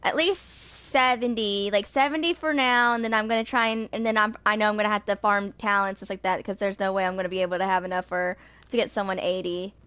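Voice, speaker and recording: A young woman in her twenties gives casual, rambling commentary while gaming. Her voice sits at an average female pitch. She speaks informally, as if thinking aloud, and the sound is dry with little room around it. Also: fairly even intonation, fast talker, fairly bright tone, clear, resonant tone, dry recording. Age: 20s. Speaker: gaming video creator.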